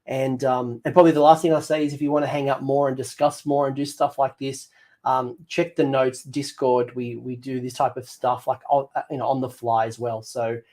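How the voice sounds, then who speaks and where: A man losing his voice, hosting a casual hobby livestream and thinking out loud with lots of ums and restarts. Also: medium pitch, flat delivery, a little fast. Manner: rambling, thinks aloud with many ums and restarts. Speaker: livestream host.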